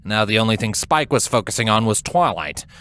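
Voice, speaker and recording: A man's voice: on the low side, animated, a little fast, bright, forceful tone. A man reading scripted fan fiction as a storybook narrator. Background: background music.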